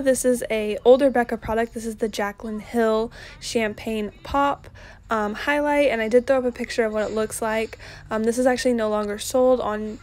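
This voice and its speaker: A young woman narrating a casual shopping haul, chatty and relaxed, with lots of ums and likes. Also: on the high side. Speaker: vlogger.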